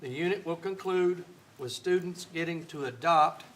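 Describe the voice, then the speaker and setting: A man introducing a student showcase at a school board meeting, relaxed, with fillers and light jokes. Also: a little high, moderate pace, a little hiss or hum. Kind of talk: meeting presentation, partly read.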